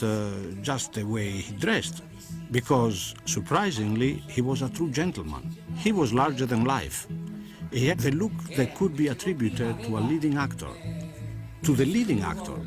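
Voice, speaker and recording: A man with a middling voice recalls in an interview, pressing his points and repeating them for emphasis. Music plays clearly beneath him. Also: mid-range voice, very animated, moderate pace.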